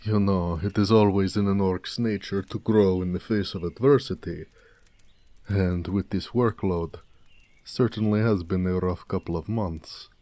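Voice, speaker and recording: A man playing a big, muscular orc blacksmith in an intimate audio drama, proud, gentle and affectionate, with courteous, slightly formal speech. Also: sits low, fairly even intonation, dry recording. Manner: unhurried, soft-spoken, courteous, slightly formal. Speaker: voice actor.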